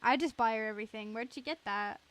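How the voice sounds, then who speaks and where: High, bright-voiced woman streaming a game. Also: fast, slight background noise. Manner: giggly, reactive. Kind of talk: casual gameplay commentary.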